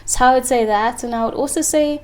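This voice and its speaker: A woman's voice: high-pitched, fairly quick. A young woman from Congo whose first language is French, speaking candidly as an interview guest and laughing often. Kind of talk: casual interview chat.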